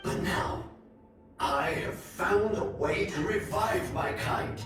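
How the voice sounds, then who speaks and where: An actor playing an ancient, gloating nightmare monster in a video game, formal and sly, with an otherworldly echo effect. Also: male voice, high-pitched, slow pace, far from the mic. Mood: wistful, menacing. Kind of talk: video game villain dialogue. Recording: echoing reverb, music underneath. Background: game music.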